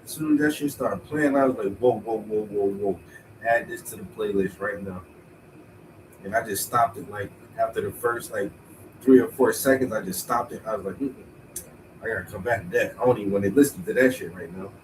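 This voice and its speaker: A man's voice: lowish voice, fairly quick, recorded from a distance, background noise. Laid-back man rambling while painting. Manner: laid-back, rambling, thinking aloud, swears casually. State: congested and tired from a cold. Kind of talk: casual talk to himself while painting.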